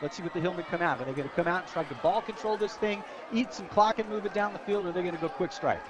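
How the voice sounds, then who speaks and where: Fast-talking male football color commentator. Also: high voice. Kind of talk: conversational football analysis. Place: outdoor high school football stadium broadcast position. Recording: loud crowd at outdoor stadium. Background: crowd noise.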